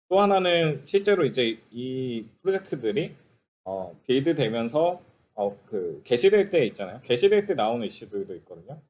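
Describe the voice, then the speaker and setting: A man's voice: on the high side, a little mellow. Man presenting, dull lo-fi audio. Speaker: software developer presenting at a conference. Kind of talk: technical presentation.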